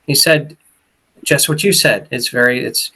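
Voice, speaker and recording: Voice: mid-range voice, measured pace, pushed and bright, slightly rough. An interview host asking questions in a casual, hesitant way, with many restarts.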